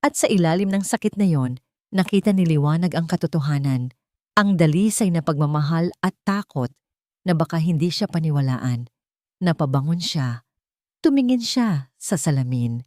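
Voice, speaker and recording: A woman's voice: sits low, lively intonation, moderate pace, a little mellow, no room sound, clean studio sound. A Filipino woman narrating a scripted romantic drama as a storyteller.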